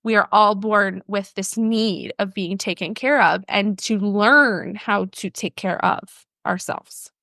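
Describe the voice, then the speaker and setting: A woman's voice: medium pitch, slow, vocal fry, few pauses, pushed and bright, no echo. American woman explaining, dry close sound. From New Orleans, United States. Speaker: podcast guest, therapist and counselor. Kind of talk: conversational explanation.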